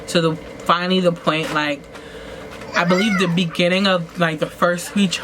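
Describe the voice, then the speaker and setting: A woman talking casually as she gets ready, rambling with lots of "like"s and restarts and jumping up in pitch for emphasis. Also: flat delivery, moderate pace. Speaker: vlogger. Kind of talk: casual storytelling while getting ready. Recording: noisy home recording.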